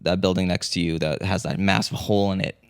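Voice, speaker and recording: A man's voice: deep voice, steady tone, quick pace, creaky, clear, resonant tone, no echo, clean studio sound. A man recounting events casually as a podcast co-host, hesitant and often repeating his words. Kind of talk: casual conversational storytelling.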